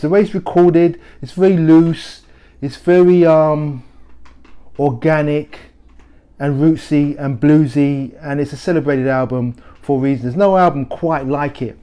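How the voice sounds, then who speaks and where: A middle-aged man talks through his favourite records in an easy, conversational way, stopping and restarting his sentences as he goes. His voice is mellow and dark, pitched in an ordinary middle range. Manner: relaxed, conversational, with frequent restarts and fillers. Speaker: record shop host. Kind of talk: casual music recommendations to camera.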